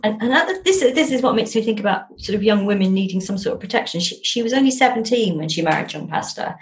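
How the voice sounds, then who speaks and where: An English woman talks in a casual, storytelling way at a middling pitch. She is chatty and often interrupts herself, restarting phrases as she thinks aloud. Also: animated, fast talker. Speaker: interview guest, novelist.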